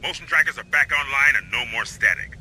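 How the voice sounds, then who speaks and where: An actor playing a tough, wry marine commander in a video game, brash and commanding. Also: male voice, medium pitch, expressive, a little fast, noisy background, lightly acted. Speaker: squad commander.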